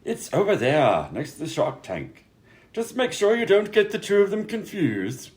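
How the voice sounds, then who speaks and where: An actor voicing peevish, officious, bickering conjoined twins in a comedy radio play. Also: male voice, high voice, wildly swooping pitch, moderate pace, darkish tone. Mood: polite, officious. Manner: squabbling, peevish, swinging between two personas.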